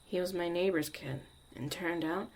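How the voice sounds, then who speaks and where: A woman playing a young witch mother, a tough, protective and caring survivor, in an intimate, halting, confiding ASMR roleplay monologue. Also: fairly low pitch, a little mellow, rough recording. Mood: reflective, fond.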